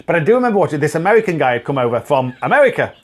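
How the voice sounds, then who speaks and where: A chatty man co-hosting a podcast, his voice sitting a little higher than most. He tells stories in a casual way, breaking into laughter often. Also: big pitch swings, very fast. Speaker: podcast co-host. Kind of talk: casual banter.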